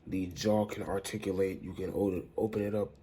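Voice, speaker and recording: A man with a congested voice, casually reviewing a toy in a rambling, halting way. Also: sits low, flat delivery, dark tone, a little hiss or hum. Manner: rambling, halting, restarts sentences often. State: congested, stuffy nose.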